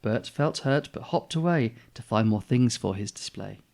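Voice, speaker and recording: A man reads a children's story aloud in an expressive style. His voice sits at a middling pitch, with a noticeable creak running through it. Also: normal speed. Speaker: storybook reader, channel host.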